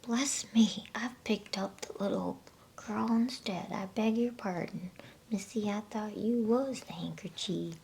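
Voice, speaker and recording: A woman's voice: mid-range voice, measured pace, vocal fry, darkish tone, a little hiss or hum, voice pushed up. A woman voicing a polite, good-natured, simple male giant with folksy, respectful speech in a whispered bedtime storybook. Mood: apologetic, polite.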